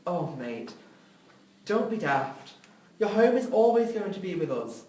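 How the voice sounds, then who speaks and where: A man's voice: high-pitched, sing-song, measured pace, dark tone, recorded from a distance. A man playing a caring, bookish older brother, pleading and reassuring, in a script reading. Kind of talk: audio drama script reading. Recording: echoey room, off mic, faint noise.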